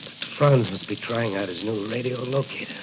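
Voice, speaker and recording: Noisy vintage radio, male actor as masked crime-fighter, mid pitch, confident, resolute, declamatory, 1940s adventure serial. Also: brisk, lightly acted. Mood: dazed, then determined. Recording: noisy, muffled 1940 radio recording.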